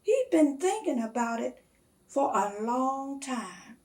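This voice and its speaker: A woman reading a children's story aloud in a measured, expressive storytelling manner. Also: high voice.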